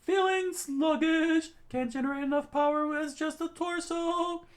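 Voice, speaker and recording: Man voicing a young male hero, desperate, bossy, strained high yelling, read-aloud comic. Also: fairly even intonation, unhurried. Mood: urgent shouting.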